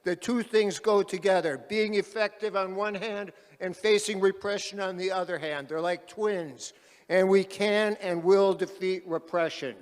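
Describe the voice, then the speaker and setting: A man moderating a panel at an activist conference, speaking loudly and calling out to the crowd like a rally speaker. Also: fairly even intonation, a little slow, smooth. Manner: loud, booming, calls out to the crowd. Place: large conference hall. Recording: reverberant hall.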